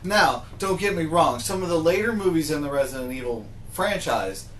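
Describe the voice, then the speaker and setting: Higher-voiced man chatting informally. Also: animated, moderate pace, fairly bright tone. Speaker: podcast co-host. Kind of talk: casual panel discussion. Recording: faint background noise.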